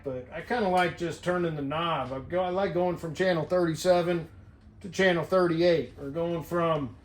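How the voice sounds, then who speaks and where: An American man talking casually to camera in a folksy, plain-spoken, rambling way, like a hobbyist vlogger. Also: fairly high pitch. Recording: roomy echo.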